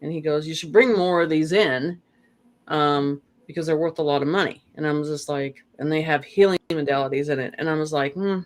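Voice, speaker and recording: A woman's voice: low-pitched, fairly quick. A woman talking casually as an interview guest, telling stories in a rambling way with frequent "you know" asides. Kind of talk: casual conversational storytelling.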